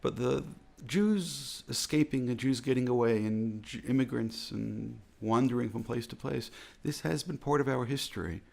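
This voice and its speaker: An American man speaks as a rabbi in a measured, earnest reflective talk. His voice is heavily gravelly with a husky edge. He leans on repeated phrases to drive his points home. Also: mid-range voice, a little mellow. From United States.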